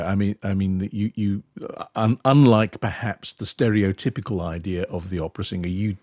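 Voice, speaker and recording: A male interviewer with a somewhat low voice winds his way toward his questions, hesitating and restarting as he goes. The sound is close and dry, with no room around it. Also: darkish tone, no room sound.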